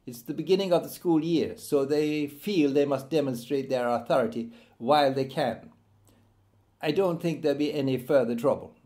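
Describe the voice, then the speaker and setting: A man's voice: fairly high pitch, moderate pace, dark tone, natural voice. A man voicing an easygoing male Jamaican schoolteacher in a storybook reading, explaining things matter-of-factly. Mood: unruffled.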